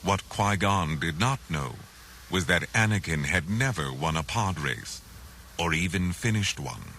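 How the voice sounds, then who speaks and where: A man reading a scripted children's adventure story as narrator, in a dramatic, measured way. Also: sits low, expressive, pushed and bright, slight background noise.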